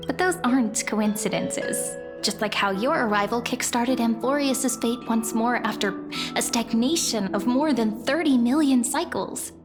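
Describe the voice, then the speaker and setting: Woman acting a high-voiced, gentle young game heroine. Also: a little slow, over music, close to an everyday voice. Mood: earnest, reassuring. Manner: earnest, softly encouraging, lightly teasing. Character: gentle hopeful young woman, devoted companion. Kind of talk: scripted video game dialogue.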